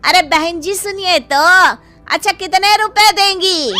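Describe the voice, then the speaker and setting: A woman voicing a persistent, wheedling male market shopkeeper in an animated story. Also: very high-pitched, a little slow, loud music behind the voice. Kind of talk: animated moral story dialogue.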